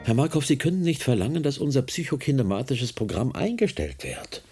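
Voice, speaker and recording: Gravelly, suave research boss. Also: male voice, mid-range voice, very animated, brisk, warm tone, soft background music. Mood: smug, placating. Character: suave, condescending male head of research programme. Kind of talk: German radio drama acting.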